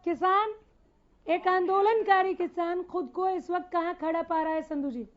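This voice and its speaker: A very high-voiced woman hosts a live news debate, steering her panel as anchor. The broadcast audio sounds somewhat dull and lo-fi. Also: moderate pace.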